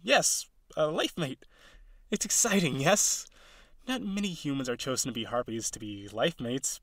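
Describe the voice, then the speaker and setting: A man acting as an eager, awkward, gentle male harpy in an audio roleplay, speaking straight to the listener with hesitant, dramatic delivery. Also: medium pitch, no room sound, studio quality. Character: eager, nervous, awkward young male harpy courting a human.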